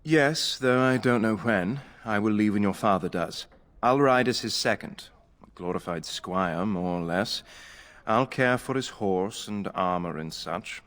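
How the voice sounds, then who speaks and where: A man's voice: on the low side, unhurried, a bit bright, long reverb tail. A man playing an earnest, courteous young squire who dreams of heroism, in a video game. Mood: earnest, eager.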